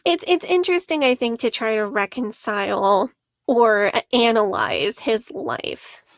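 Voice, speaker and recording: A woman's voice: on the high side, very animated, measured pace, dry recording, clean studio sound. Earnest woman podcast co-host. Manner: earnest, analytical, laughs between points. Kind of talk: casual podcast discussion.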